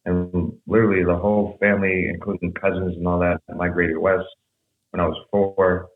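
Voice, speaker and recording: Podcast guest, young man, very low voice, rambling storyteller, phone-like echoey sound. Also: normal speed. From San Jose, California. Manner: relaxed, rambling storytelling with fillers. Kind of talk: casual conversation.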